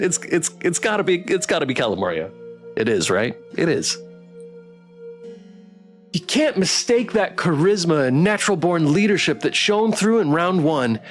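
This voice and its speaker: Guy streaming a visual novel, lively swoopy voice, a bit high, dramatic narration, faint music. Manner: expressive, playful. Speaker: streamer reading a game aloud.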